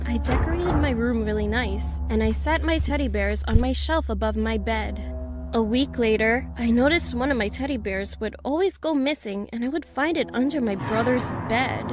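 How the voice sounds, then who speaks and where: A woman narrating a scary story in the first person. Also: a little high. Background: prominent background music.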